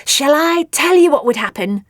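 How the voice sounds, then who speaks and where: Woman acting a theatrical, scheming babysitter, pitched high. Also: lively intonation, moderate pace, dry recording, clean studio sound. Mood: eager, goading. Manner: exclamatory, melodramatic, wheedling.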